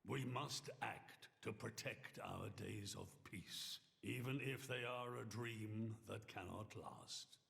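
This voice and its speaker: A man playing a grave, weary ruler with a gravelly voice in a video game cutscene. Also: lowish voice, slow pace, hardly any pauses, a bit bright, full character voice. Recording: reverberant hall, faint ambient noise.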